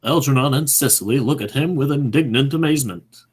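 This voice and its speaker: A man's voice: mid-range voice, fairly quick, breathy edge. A man narrating a radio play, reading short stage directions as scripted narration.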